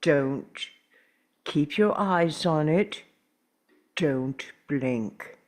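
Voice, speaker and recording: An actor in a script table read playing an urgent, stubborn, protective time traveller, speaking earnestly and deliberately. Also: medium pitch, animated. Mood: desperate, stubborn.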